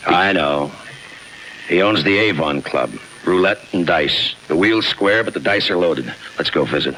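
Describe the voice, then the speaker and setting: An actor playing a hard-boiled, laconic homicide lieutenant with a short temper, terse and deadpan, in 1950s radio crime drama style. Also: male voice, low-pitched, measured pace, slight background noise, low-quality recording. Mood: terse, firm. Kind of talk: 1950s radio crime drama acting with first-person narration. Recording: old radio broadcast with music behind.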